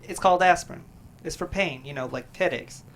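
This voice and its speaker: A man's voice: fairly high pitch, brisk, fairly bright tone, slight background noise, lightly acted. A man acting in a table read as an overly cheerful small-town buddy who is hiding something, eager, stammering and evasive. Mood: concerned.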